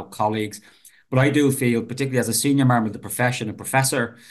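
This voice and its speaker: A man in his fifties with a Dublin Irish accent, a professor talking earnestly as a podcast guest and often repeating words as he starts a sentence. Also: medium pitch. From Ireland. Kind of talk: podcast interview conversation.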